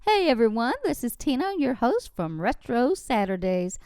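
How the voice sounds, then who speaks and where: A woman's voice: a little high, big pitch swings, normal speed, background noise. A woman radio host reading a scripted station promo in a friendly, inviting manner.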